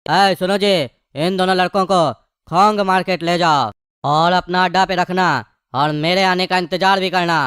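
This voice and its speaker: A man's voice: high voice, steady tone, moderate pace, fairly bright tone, smooth. A man voicing a menacing cartoon gangster boss, cold, commanding and threatening. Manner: threatening, bossy. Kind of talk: comedy cartoon voice acting.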